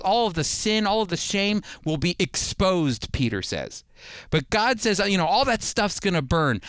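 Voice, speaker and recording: A man's voice: a little high, fairly bright tone, smooth, no echo, studio quality. A man giving prepared sermon-style Bible teaching, speaking emphatically as he explains and reads scripture. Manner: emphatic, with rhetorical questions and slowed scripture readings. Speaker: Bible teacher and program host.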